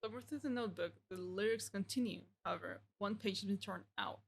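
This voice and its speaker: A woman's voice: medium pitch, a little fast, vocal fry, slight background noise. A woman streaming a game, reading its text aloud and reacting casually.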